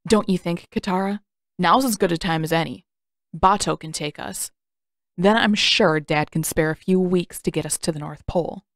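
A woman's voice: fairly low pitch, normal speed, dry recording, studio quality, close to an everyday voice. Woman playing a cold, angry teenage boy. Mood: cold, stony. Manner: cold, brittle, accusing. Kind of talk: audiobook character acting.

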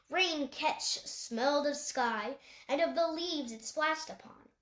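A woman's voice: high-pitched, a bit bright, echoey room. A woman reading a children's animal novel aloud as scripted audiobook narration. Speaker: audiobook reader.

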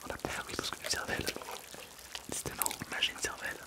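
A man in his twenties whispering breathily in a Halloween ASMR roleplay, halting and rambling as he plays a gruesome, gleeful brain-eating zombie. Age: 20s.

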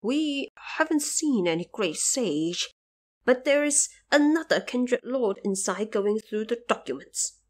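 A woman's voice: fairly high pitch, expressive, measured pace. A woman voicing a deferential male ghost judge in an audio drama. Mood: puzzled.

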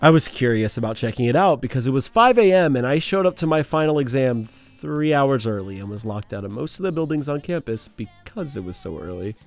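A man's voice: medium pitch, very animated, brisk, hardly any pauses, soft background music. A young man hosting a casual commentary video, with sarcastic, self-mocking asides. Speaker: video essay host.

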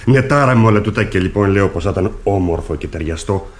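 Man playing a very low-voiced, humble sly workman. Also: expressive, close to an everyday voice. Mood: meek, apologetic. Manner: deferential, rustic, hesitant. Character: humble, sly working-class carpenter, obsequious and pious. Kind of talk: radio theatre acting.

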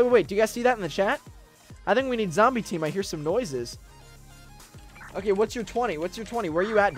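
A young American man doing casual, excitable roleplay gameplay commentary, chatting straight to his viewers at a close mic. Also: sits high. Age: young adult. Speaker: gaming video host. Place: indoor room gaming setup with webcam.

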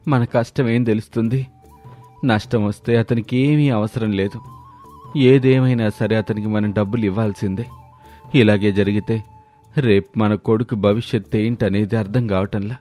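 Humble village man for an animated moral story, played by a male actor: mellow, earnest, plain-spoken, over soft music. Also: fairly low pitch, lightly acted. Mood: worried. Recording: music under the voice.

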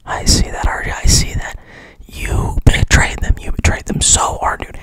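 A man voicing a vengeful, grumpy male bear in a hushed whisper, sneering and foul-mouthed. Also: normal speed, close to an everyday voice. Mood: smug.

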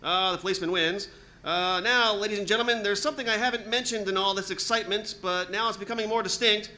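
A man playing an excited radio reporter at the scene in 1930s radio style, hesitant and stammering with urgency. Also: high-pitched, quick pace, low-quality recording. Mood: hushed urgency. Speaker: actor in a radio drama recreation.

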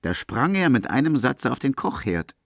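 A man's voice: medium pitch, sing-song, normal speed, vocal fry, no echo, studio quality. A man reading scripted literary narration as the narrator of a radio play.

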